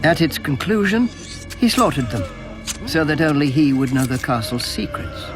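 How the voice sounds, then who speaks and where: A man narrating fantasy history with measured, dramatic storytelling. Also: a little high, expressive. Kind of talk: scripted lore narration. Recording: music behind the voice.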